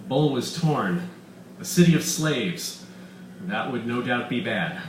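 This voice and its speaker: A man's voice: mid-range voice, animated, slow. Man reading fiction aloud in echoey room. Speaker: author reading his short story. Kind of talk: live narration of comic science fiction. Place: bar reading event. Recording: roomy echo, distant mic, faint room noise.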